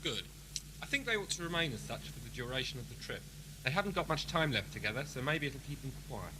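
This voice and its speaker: A weary, principled commander acted by a man with a formal and authoritative delivery in a mid-range voice. He sounds dry and official, in an amateur sci-fi audio play with clear background noise. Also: medium pitch, sing-song, quick pace, close to an everyday voice. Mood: businesslike. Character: weary, principled male prison ship commander. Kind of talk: audio drama acting. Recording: clearly noisy 1980s cassette, background ambience.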